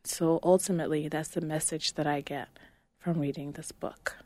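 A woman guest answering in a measured, thoughtful way. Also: deep voice, steady tone, a little mellow, no echo. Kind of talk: reflective answer.

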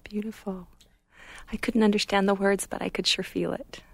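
A woman hosting an interview, soft-spoken and appreciative toward her guests. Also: medium pitch, steady tone, normal speed, creaky, slightly rough, dry recording. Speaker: host.

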